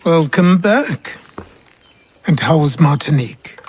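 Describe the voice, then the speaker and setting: An actor playing a weary, wise old mentor with a husky voice and a grave, probing manner in a game cutscene. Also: male voice, a little high, expressive, slow pace, slight background noise. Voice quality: husky, rasping. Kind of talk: video game cutscene acting.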